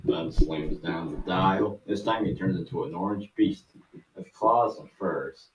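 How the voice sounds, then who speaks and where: A man with a low voice narrates a script in a halting, amateur way. He sounds distant from the mic in a roomy space, with faint noise. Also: muffled recording. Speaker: narrator of a fan table read.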